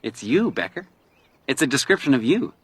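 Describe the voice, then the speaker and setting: Chatty, needling young hiker, swooping delivery. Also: male voice, medium pitch, very animated, moderate pace, breathy edge, dry recording. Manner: chatty, probing, insistent. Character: younger, talkative, needling male hiker, nervy and probing. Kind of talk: radio drama acting.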